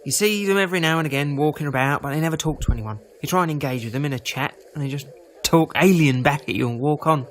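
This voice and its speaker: An actor playing a prejudiced, chatty market-stall trader in an audio drama, rambling in a folksy, defensive way. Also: male voice, fairly high pitch, fast, slight background noise, natural voice. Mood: self-righteous. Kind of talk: full-cast audio drama acting.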